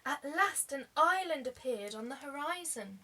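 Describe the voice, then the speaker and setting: A woman reading a children's story aloud like a teacher, expressively, with deliberate dramatic emphasis. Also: sits high, animated, sharp tone, rough recording.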